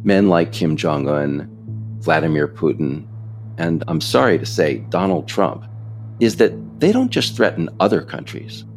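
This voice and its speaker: An American man reading scripted political narration in a measured, emphatic, explanatory way. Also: sits low, creaky. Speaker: author narrating own political audiobook.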